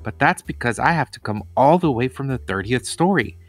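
A man's voice: mid-range voice, lively intonation, brisk, dry recording, faint music behind, close to an everyday voice. A man voicing an eager, pushy young schoolgirl in a storybook, insistent and complaining.

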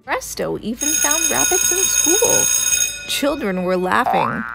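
A woman reading a children's story aloud as an expressive storyteller. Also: high-pitched, slow pace, vocal fry, loud music behind the voice.

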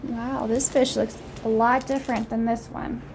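A woman's voice: a little high, little pitch movement, normal speed. A young woman doing a picture book read-aloud, describing pictures and asking simple, wondering questions as if to small children. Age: young adult. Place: small room at home, indoors. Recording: noticeable background noise, home recording.

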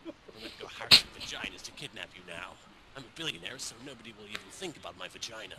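A man's voice: fairly low pitch, animated, fast, close to an everyday voice. A man voicing an arrogant young male billionaire card duelist, smug and dismissive. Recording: noisy fan-made video audio.

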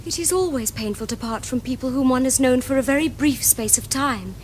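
Actress as a high-voiced, prim English young lady. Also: a little fast, darkish tone, a little hiss or hum, close to an everyday voice. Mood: prim, eager. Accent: English Received Pronunciation. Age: young adult. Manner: precise, formal, earnest diction. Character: prim, romantic, self-possessed young Victorian lady. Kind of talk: period stage comedy acting for television.

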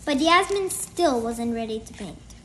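A woman's voice: on the high side, animated, a little slow, a bit bright, slight background noise. A woman reading a children's storybook aloud in a careful, word-by-word way. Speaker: storybook reader. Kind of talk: children's book read-aloud.

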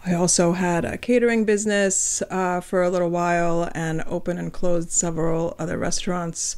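A woman's voice: on the low side, little pitch movement, normal speed, a little mellow, clear, resonant tone. A middle-aged woman from France, a restaurant owner answering interview questions in a casual, hesitant way with many ums.

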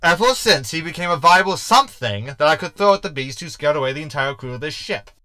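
A man's voice: a little high, expressive, quick pace, sharp tone, smooth, dry recording. A man playing a cocky, sarcastic shapeshifting ship's hologram in a science fiction comedy audio drama, with wordy delivery. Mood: indignant.

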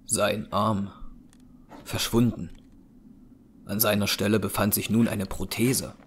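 A man's voice: fairly low pitch, flat delivery, slow pace, a little hiss or hum. A man narrating an audio drama in a scripted, dramatic storytelling style. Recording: voice over prominent music. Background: music.

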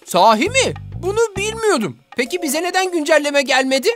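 High, bossy cartoon digger. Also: female voice, fairly quick, soft background music. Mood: puzzled. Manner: nagging, teasing, expressive. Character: bossy, skeptical toy backhoe digger, organizer of the toys. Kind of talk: children's animated series voice acting.

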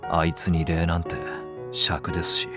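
A man's voice: low-pitched, completely flat delivery, normal speed, over loud music, natural voice. An actor playing a lazy, blunt young male nursery teacher who talks in a curt, casual way. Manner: curt, casual and a bit sulky. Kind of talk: anime voice drama acting.

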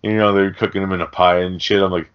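Low-pitched man, rambling and profane. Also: little pitch movement, fast, clear, resonant tone. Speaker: podcast host. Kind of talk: casual film discussion. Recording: dull, lo-fi audio.

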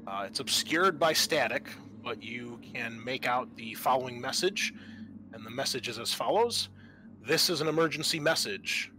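Male game master, bright forceful voice, mid pitch, halting improvised narration, noisy recording. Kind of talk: narrating a tabletop roleplaying game. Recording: noticeable background noise.

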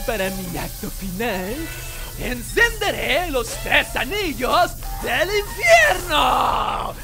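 A man's voice: extremely high pitch, gravelly, full character voice. A man voicing a manic young cat who plays circus ringmaster in a theatrical, grandiose way, with a Latin American accent, in a cartoon fan dub. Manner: theatrical, grandiose barker delivery. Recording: loud music over the voice.

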